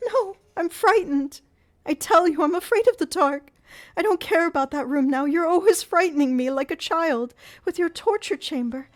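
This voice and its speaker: A woman doing a frightened pleading girl. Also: moderate pace. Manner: high, pleading, breathless. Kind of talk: audiobook character voice.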